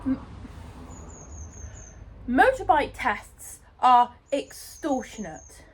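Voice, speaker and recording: A woman's voice: high-pitched, sing-song, very slow, husky. A young British woman, about nineteen, ranting indignantly into her phone outdoors by a road. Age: young adult. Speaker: vlogger. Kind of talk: ranting vlog. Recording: phone mic outdoors, cars passing.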